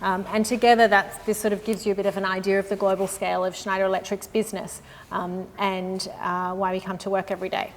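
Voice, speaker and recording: A woman's voice: medium pitch, flat delivery. Woman presenting in a reverberant hall. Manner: friendly, measured, with frequent "um" and "uh". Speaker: sustainability consultant presenting. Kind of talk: live industry presentation. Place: conference room with an audience. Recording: long hall reverb with faint noise.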